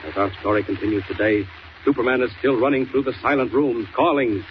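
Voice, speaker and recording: A man's voice: medium pitch, a little fast, close to an everyday voice. A man narrating a 1940s radio adventure serial in a grand, emphatic, theatrical announcer's style. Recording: muffled, hissy 1940s radio recording.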